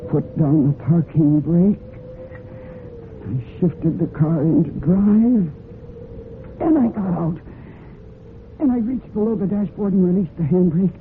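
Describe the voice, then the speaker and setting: An actress playing a desperate, guilt-ridden woman in a radio mystery, with a halting, intense and deliberate delivery. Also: low-pitched. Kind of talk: dramatic radio mystery acting. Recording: noisy, narrow-band old radio recording.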